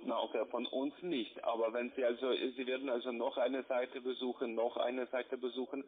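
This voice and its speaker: A man with a medium-pitched voice speaks as a call centre salesman over a thin, tinny phone line. He is halting and repeats his phrases, insistently arguing his side. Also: little pitch movement. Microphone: telephone call.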